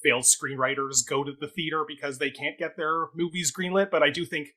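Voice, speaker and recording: A man's voice: fairly high pitch, steady tone, fairly quick, sharp tone. A man co-hosting a theatre review talk, speaking conversationally in an articulate, wordy way with careful hedging.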